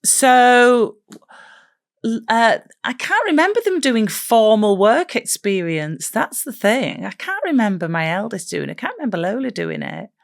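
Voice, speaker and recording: A woman's voice: fairly high pitch, sing-song, a little slow, vocal fry, no room sound, clean studio sound. A middle-aged British woman co-hosting a podcast, telling jokey, self-deprecating anecdotes in casual conversation. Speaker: podcast co-host. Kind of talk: casual chat, humorous anecdotes.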